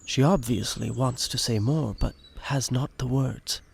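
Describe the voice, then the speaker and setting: A man's voice: mid-range voice, unhurried, creaky, husky. A man reading scripted narration for an audio drama. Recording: music under the voice.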